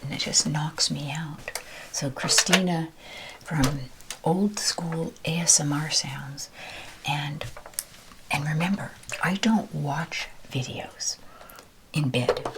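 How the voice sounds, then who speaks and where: A woman whispering a rambling ASMR chat while ironing, her voice with a deeper timbre. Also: heavy background noise. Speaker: ASMR creator. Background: ironing noises.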